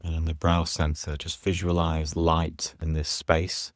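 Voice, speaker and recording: Very deep, slow male meditation guide. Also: completely flat delivery, no echo, clean studio sound. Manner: soothing, unhurried, pausing between short phrases. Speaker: yoga nidra teacher guiding a meditation.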